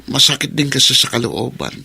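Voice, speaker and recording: Gravelly, aggrieved uncle. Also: male voice, mid-range voice, animated, brisk, bright, forceful tone, full character voice. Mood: wounded pleading. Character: aggrieved, ingratiating uncle of grown children. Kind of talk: radio drama acting.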